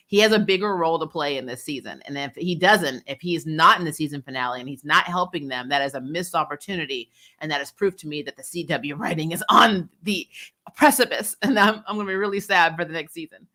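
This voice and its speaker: A woman hosting a casual recap show, blunt and opinionated, retelling scenes in an animated, chatty way with some mild swearing. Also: low-pitched. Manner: animated retelling, acting out dialogue, prone to chuckling; blunt, opinionated, mildly profane. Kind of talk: casual episode recap and commentary.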